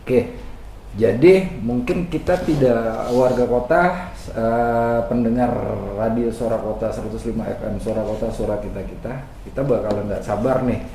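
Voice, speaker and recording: A man's voice: medium pitch, fairly even intonation, moderate pace, warm tone. A man presenting on radio in a chatty, informal, casual way. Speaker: radio host. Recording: very echoey, distant mic, faint noise.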